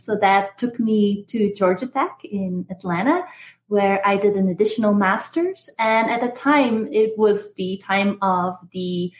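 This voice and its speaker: A woman in her thirties from Belgium, explaining things as an interview guest and professor. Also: medium pitch. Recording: roomy, echoing sound.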